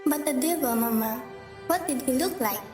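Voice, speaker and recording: A woman acts a curious little girl in a radio play, with a very high, eager voice. It comes from off the mic in a roomy space, with music clearly behind. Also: lively intonation, moderate pace. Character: curious little girl questioning her mother. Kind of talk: amateur radio drama acting. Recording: distant, echoey room, music behind.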